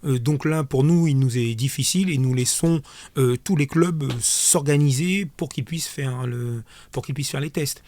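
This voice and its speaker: A man answering as an official in a radio interview, explaining things haltingly and repeating words as he restarts. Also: medium pitch, a little mellow. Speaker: sports official, interview guest. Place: radio studio.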